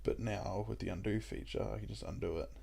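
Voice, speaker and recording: A young Australian man with a croaky voice, chatting casually and rambling with lots of ums while he plays a puzzle game. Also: fairly low pitch, quick pace, a little hiss or hum. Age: young adult. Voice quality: croaky, gravelly voice. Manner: rambling and relaxed, with many ums. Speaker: gaming YouTuber. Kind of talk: casual let's-play commentary.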